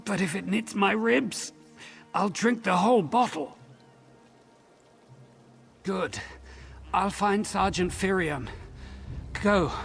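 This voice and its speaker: Battered male elf soldier, fantasy game, terse, pained, very slow, high-pitched, stoic. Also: vocal fry, a bit bright, husky, faint music behind, full character voice. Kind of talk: fantasy video game dialogue.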